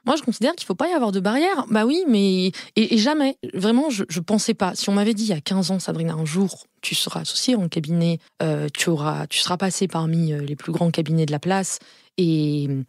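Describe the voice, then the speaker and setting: A woman in her forties speaks candidly as a guest on a podcast, her voice pitched somewhat low. She hesitates and restarts often but stays earnest. The sound is close and dry. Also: brisk, a little mellow, no room sound. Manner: earnest, frank, repeats herself and hesitates with many fillers. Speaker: lawyer and law firm partner.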